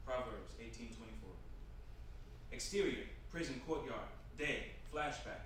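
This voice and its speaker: A man's voice: mid-range voice, a little slow, a bit bright. Echoey, distant man reading stage directions. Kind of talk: scripted screenplay narration. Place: live staged reading in a room. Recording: distant mic in echoey noisy room.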